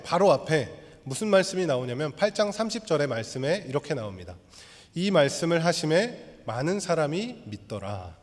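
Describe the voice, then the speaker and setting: A man preaching a sermon as pastor, his voice hoarse, speaking in a measured, explanatory way and leading the congregation through a reading. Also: on the high side. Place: church sanctuary. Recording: long hall reverb.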